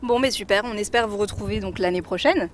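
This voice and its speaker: A woman interviewer asking brisk, friendly questions at a festival. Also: mid-range voice, faint music behind. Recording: crowd noise behind.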